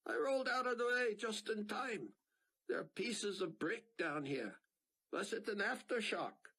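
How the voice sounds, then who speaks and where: A man voicing a gentle, devout older scholar with an Israeli accent, speaking formally. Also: high voice, expressive, measured pace, breathy edge, pitched above the performer's own voice, full character voice. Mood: shaken. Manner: formal, earnest speech.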